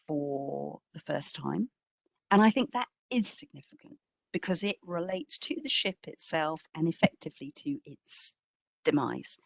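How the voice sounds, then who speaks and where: Low-voiced woman, thoughtful expert speaker. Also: no room sound. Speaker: exhibition curator giving a talk. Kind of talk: informal expert answer in a public history talk.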